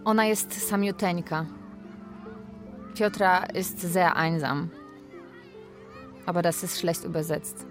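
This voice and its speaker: An actress playing a lonely, wry Polish live-in carer, narrating a radio drama in a deliberate way. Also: female voice, fairly low pitch, music in the background. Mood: lonely, reflective.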